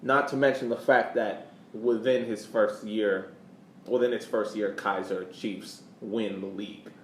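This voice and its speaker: A man with a fairly deep voice hosts a casual sports podcast. He speaks conversationally in a noticeably echoey room, with faint noise behind him. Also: fairly even intonation, measured pace. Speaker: podcast host. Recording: roomy echo, background noise.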